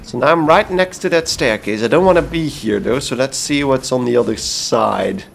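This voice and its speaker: A man's voice: medium pitch, moderate pace, gravelly, slight background noise. A man from Belgium giving casual gameplay commentary, talking to himself and swearing freely. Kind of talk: casual blind playthrough commentary.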